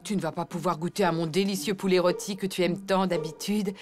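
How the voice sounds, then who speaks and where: A woman voicing a patient cartoon elephant mother who talks gently and reassuringly to her kids. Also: on the low side, steady tone, brisk, close to an everyday voice. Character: elephant mother, warm, patient home cook.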